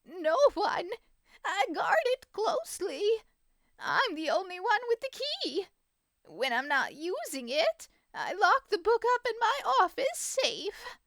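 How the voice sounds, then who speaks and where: A woman's voice: extremely high pitch, sing-song, slow pace, a bit bright, dry recording, clean studio sound, voice pushed up, heavily acted. A woman voicing an elderly grandmother animal with a shaky, warbling voice in a children's story. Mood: worried.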